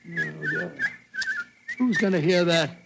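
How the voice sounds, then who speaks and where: An actor playing a fussy, blustery older British doctor and loyal sidekick in a 1940s style radio drama. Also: male voice, sits high, animated, slow, very noisy. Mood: groggy, grumbling.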